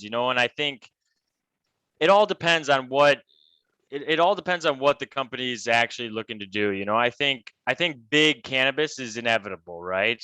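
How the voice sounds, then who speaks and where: A man in his thirties from Michigan with an American accent, chatting as an interview guest, relaxed and halting with many restarts. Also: medium pitch, clear, resonant tone, no echo, low-quality recording. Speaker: guest, cannabis advisor. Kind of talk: casual interview talk.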